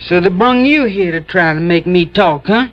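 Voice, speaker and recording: A male actor playing a wary, frightened, defiant teenage boy in an old-time radio drama, with guarded, halting, rustic speech. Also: high voice, lively intonation. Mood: fearful, confiding. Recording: dull vintage radio recording.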